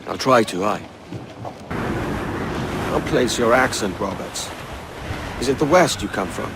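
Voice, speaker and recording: A man's voice: mid-range voice, lively intonation, measured pace, background noise. A man playing a blunt, sceptical young pirate captain in a video game, with a Welsh accent and a wry, plain-spoken manner. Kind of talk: dramatic game cutscene acting.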